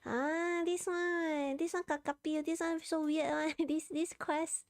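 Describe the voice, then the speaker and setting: A woman's voice: sits high, little pitch movement, a little slow, no echo. A woman with a Malaysian accent chatting casually on a game livestream, talking half to herself in short, repetitive fragments. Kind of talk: casual livestream chat while playing a game. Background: game music.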